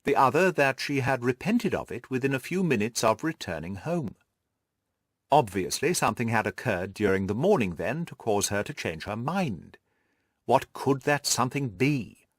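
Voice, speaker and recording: Audiobook gentleman detective, played by a male reader: assured, methodical, very animated pitch movement, close dry sound. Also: moderate pace, no room sound. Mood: analytical, assured. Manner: precise, methodical, didactic explaining. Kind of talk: audiobook character dialogue.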